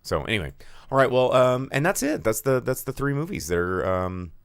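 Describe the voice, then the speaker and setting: A hoarse man co-hosting a movie podcast, talking casually about new releases with lots of fillers and animated asides. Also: mid-range voice, fairly quick, dry recording, studio quality. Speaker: podcast co-host. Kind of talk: casual movie news chat.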